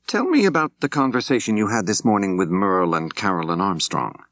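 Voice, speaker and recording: A level-headed male law professor playing detective, voiced by a male narrator, speaks very low. He questions directly and in measured phrases, in the manner of an audiobook mystery. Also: expressive, fairly bright tone, no echo. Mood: probing, calm.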